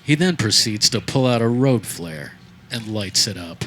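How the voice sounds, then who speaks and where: A man's voice: lowish voice, animated, normal speed. A man narrating a scripted comedy radio play, recorded live outdoors. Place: backyard, before a live audience. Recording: live outdoor recording, faint background noise.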